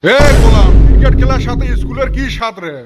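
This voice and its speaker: A man voicing a grumpy, quick-tempered village father in a comic cartoon, scolding in a North Bengal accent. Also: very high-pitched, animated, loud music behind the voice. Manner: scolding, exasperated. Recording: very noisy, clicky cartoon audio track.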